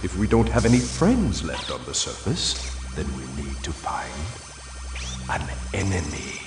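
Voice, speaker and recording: An actor playing a pompous, scheming mad scientist in a video game, theatrical and mock-courteous. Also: male voice, low voice, huge pitch swings, measured pace, heavily acted. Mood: exasperated. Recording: echoey and distant, music behind.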